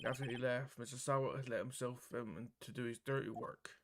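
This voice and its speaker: A man's voice: medium pitch, fairly even intonation, normal speed, background noise. A man reading a visual novel's dialogue and narration aloud informally, now and then stumbling over the words. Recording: music under the voice. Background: game music.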